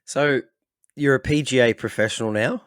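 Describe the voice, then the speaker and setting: A man hosting a podcast in a relaxed way, calling his guest "mate" and easing into questions with fillers. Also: mid-range voice, moderate pace, no echo, studio quality. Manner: easygoing, uses "mate", hedges questions with fillers. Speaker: podcast host. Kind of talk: casual podcast interview.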